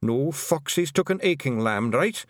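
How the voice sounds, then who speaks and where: A man voicing a tiny, nervous, excitable male pixie with a Scottish accent, gabbling in a storybook reading. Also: medium pitch, a little slow, dry recording, studio quality, voice pushed up, natural voice. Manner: gabbling, shrieking, evasive.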